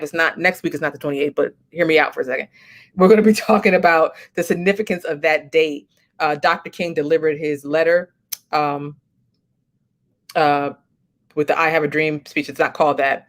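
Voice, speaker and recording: A woman's voice: low voice, fairly even intonation, fairly quick. Woman host, chatty and encouraging, talks through her thoughts, casual livestream wrap-up. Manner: chatty, encouraging, thinks aloud.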